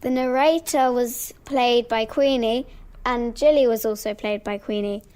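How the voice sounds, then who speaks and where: A woman's voice: on the high side, steady tone, unhurried, a little mellow, clean tone. A young girl narrating a scripted amateur radio play.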